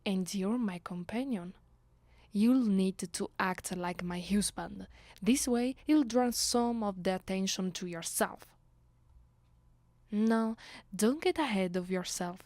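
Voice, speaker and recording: A woman's voice: medium pitch, slow pace, a little mellow, dry recording. A woman with an Italian accent playing a commanding, teasing vampire noblewoman in an ASMR roleplay, imperious and playful, often slipping toward a whisper. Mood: commanding, intimate. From Italy. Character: commanding, teasing, seductive aristocratic female vampire. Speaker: voice actress.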